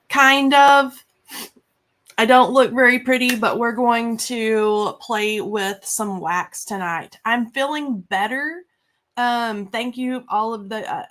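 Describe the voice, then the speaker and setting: A woman's voice: fairly high pitch, a bit bright. A woman in her forties, congested from a cold, hosting a craft livestream in chatty, rambling casual talk with many fillers and restarts.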